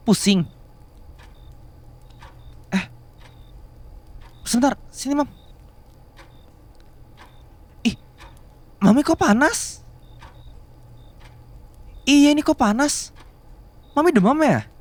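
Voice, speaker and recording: A man acts out a young boyfriend in an ASMR romance roleplay, devoted and easily flustered. He talks slowly and softly in a fairly high male voice, halting between tender phrases and murmured hums. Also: full character voice. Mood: worried, fussing. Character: devoted, bashful, fussing younger boyfriend in love with boss.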